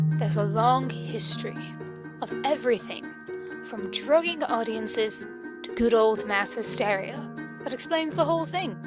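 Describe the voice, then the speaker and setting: A woman playing a sarcastic, combative, articulate interviewer in an amateur comic dub. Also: a little high. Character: scathing skeptical woman interviewer, sweary and combative. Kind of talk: amateur fandub voice acting. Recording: music behind the voice.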